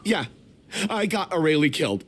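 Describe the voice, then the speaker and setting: An actor playing a cowardly, guilt-ridden member of a theatre troupe in a video game, speaking in a halting, emotional, confessional manner. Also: male voice, fairly high pitch, very animated, a little hiss or hum. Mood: anxious, guilty.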